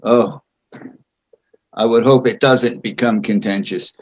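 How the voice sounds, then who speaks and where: An older man speaks at an unhurried, faltering pace, pausing often as he answers a panel's questions. His voice comes through a muffled, lo-fi video call connection. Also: on the low side, fairly even intonation, a little mellow. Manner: slow and halting, with frequent pauses. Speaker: board candidate being interviewed. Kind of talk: answering interview questions at a public meeting. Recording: dull lo-fi video call audio.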